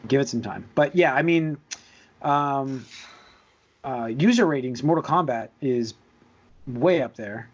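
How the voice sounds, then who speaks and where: A man's voice: medium pitch, a little slow, long pauses. A man co-hosting a podcast, chatting casually and reading out rankings. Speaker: podcast co-host. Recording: dull, lo-fi voice recording.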